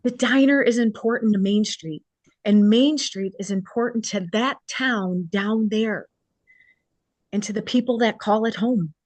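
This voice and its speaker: A woman's voice: mid-range voice, measured pace, a little mellow, no room sound, lightly acted. An actress reading a hopeful, persuasive small-town woman in a holiday romance table read. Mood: hopeful, persuading.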